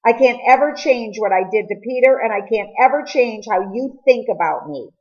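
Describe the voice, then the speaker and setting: A woman's voice: a little high, animated, moderate pace, darkish tone, dull audio, voice pushed up, natural voice. A woman reading the part of a remorseful, insistent teenage boy in a storybook, with emphatic, emotional delivery. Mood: desperate, upset.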